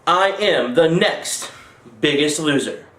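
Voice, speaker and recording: A man's voice: a little high, slow, a bit bright. A man in his thirties giving a rehearsed self-introduction to camera for an audition tape, repeating it in several takes. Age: 30s. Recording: roomy echo.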